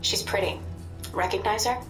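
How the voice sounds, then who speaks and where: An actress playing a composed, wry intelligence handler in a video game, with a measured, matter-of-fact manner. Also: female voice, mid-range voice, a bit bright, close to an everyday voice. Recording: roomy sound with music behind.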